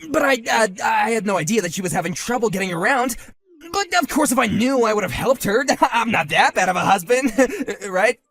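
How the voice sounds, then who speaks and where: A man voicing a hotheaded bully husband who shouts, whines and stammers when cornered, in a one-man voiced drama. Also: on the high side, lively intonation, fast talker, hardly any pauses, soft background music, close to an everyday voice. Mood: flustered. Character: hotheaded male bully husband, panicky and blustering.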